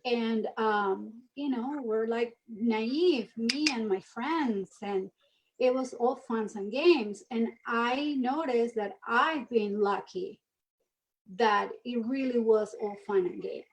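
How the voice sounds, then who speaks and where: A woman's voice: on the high side, takes it slowly, slight background noise, low-quality recording. A woman from Venezuela in her thirties, speaking earnestly as an interview guest in a casual chat. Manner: earnest, animated storytelling. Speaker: guest, mission ambassador.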